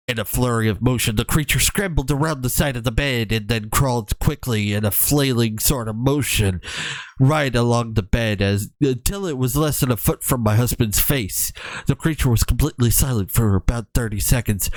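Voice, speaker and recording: A man reading a horror story aloud in a casual, halting way, with wry joking asides. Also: mid-range voice, dry recording.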